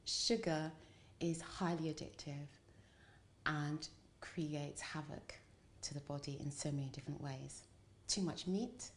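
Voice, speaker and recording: A woman's voice: deep voice, steady tone, measured pace, slight background noise. A woman explaining as an expert guest in an interview, emphasising points by repetition and checking in as she goes.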